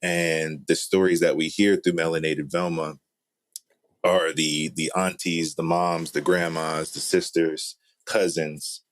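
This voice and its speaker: Low-pitched man in casual conversation. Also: level tone, unhurried. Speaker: guest, game studio founder. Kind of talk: casual chat.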